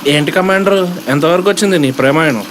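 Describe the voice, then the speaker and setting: A man's voice: a little high, fast talker, noisy background, close to an everyday voice. A man playing a teasing, scheming young college buddy in a comedy audio drama, with playful, mocking slang. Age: young adult.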